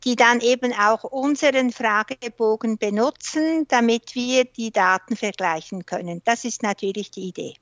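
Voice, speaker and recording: Swiss woman, hesitant, slightly high voice. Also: normal speed, few pauses, a bit bright, no echo, dull audio. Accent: Swiss German. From Switzerland. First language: not German; works mainly in French and English. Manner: thoughtful, searches for words, frequent hesitations. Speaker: guest researcher and author. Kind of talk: interview conversation.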